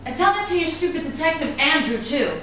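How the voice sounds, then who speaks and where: A woman's voice: sits high, lively intonation, quick pace, fairly bright tone. A woman playing a defiant, guarded actress in a staged drama reading, with a sarcastic, cutting delivery. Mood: angry, dismissive. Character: defiant, guarded actress in love with a suspected man. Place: echoey room at a staged reading. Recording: echoey room, distant mic, noisy background.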